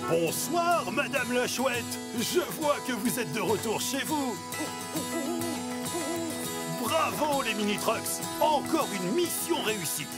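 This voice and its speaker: Lively, kindly cartoon grandfather truck. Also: very high voice, slow pace, exaggerated voice. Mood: proud. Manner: encouraging, announcing missions. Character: kindly old grandfather truck guiding his young team. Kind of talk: animated children's cartoon dialogue. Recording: dialogue over loud music.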